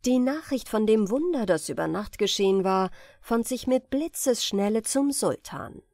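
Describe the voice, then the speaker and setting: Woman, audiobook narrator, fairy-tale reading, expressive, slightly high voice, dry close recording. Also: normal speed, no room sound. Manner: expressive storytelling.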